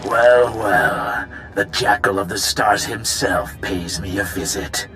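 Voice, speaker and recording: An actor playing a burly hired thug in a video game, mocking and menacing. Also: male voice, lowish voice, slow pace, bright, forceful tone, faint music behind. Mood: mocking, greedy. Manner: taunting, menacing. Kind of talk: video game villain acting.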